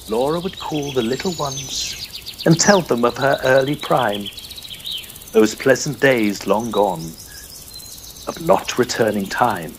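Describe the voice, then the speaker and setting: A man giving a theatrical, measured dramatic reading of Victorian verse as a narrator. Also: on the high side, a little hiss or hum.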